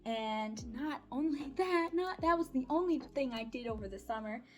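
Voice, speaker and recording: A woman's voice: high-pitched, lively intonation, moderate pace. A woman in her twenties chatting casually in a life-update vlog, candid and slangy with playful sarcasm. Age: 20s. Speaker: vlogger and voice-acting audio creator. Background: background music.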